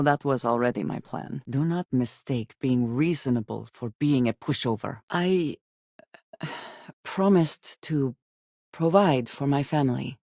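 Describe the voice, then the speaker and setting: A woman playing a guarded, earnest female spacefarer in a video game, speaking in formal, measured phrases. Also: a bass voice, vocal fry, a little mellow, no room sound, studio quality, heavily acted. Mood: measured, reflective. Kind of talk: video game character dialogue.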